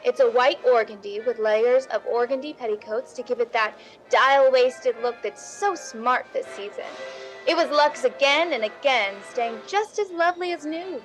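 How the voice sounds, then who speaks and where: Lady doing a chirpy old hollywood radio reporter, high voice, gushy ad patter, faint music. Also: normal speed, lightly acted, poor audio. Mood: gushing. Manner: gushing, polished. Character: chirpy 1950s female Hollywood fashion reporter. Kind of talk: scripted 1950s radio ad patter.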